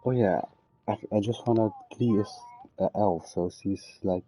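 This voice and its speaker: A young man giving casual, halting gameplay commentary as he thinks aloud. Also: lowish voice, mellow, faint music behind, rough recording. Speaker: game streamer.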